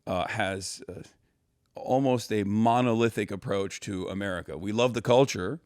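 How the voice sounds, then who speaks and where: A man from Turkey, a guest in a casual conversation, talking in a rapid, opinionated, sometimes profane way and laughing often. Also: on the low side, darkish tone, no echo, studio quality.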